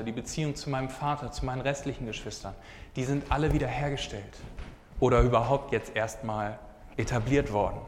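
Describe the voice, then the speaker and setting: A man in his twenties preaching a sermon and sharing his own testimony in an earnest, casual way. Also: mid-range voice. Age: 20s. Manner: earnest, casual, often says "hey". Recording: hall reverb, faint background noise.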